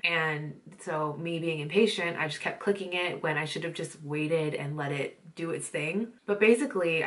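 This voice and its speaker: A woman's voice: low voice, fairly bright tone. A young woman with a stuffy nose, chatting casually in a beauty vlog and rambling along apologetically as she films at home. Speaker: beauty vlogger. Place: home filming setup.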